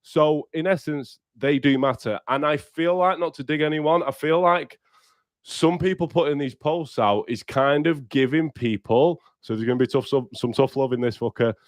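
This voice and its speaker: A man's voice: fairly high pitch, moderate pace, no echo. Congested, sweary man hosting. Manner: blunt, sweary, upbeat, addresses viewers directly. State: congested, bunged up with a cold. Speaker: podcast host. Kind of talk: casual livestream podcast talk.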